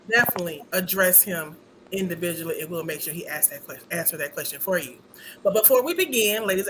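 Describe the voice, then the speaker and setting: A woman's voice: medium pitch, fairly bright tone, a little hiss or hum, rough recording. A woman hosting an online panel show, talking in an upbeat, chatty way straight to the women watching. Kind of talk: lively show hosting, addressing viewers.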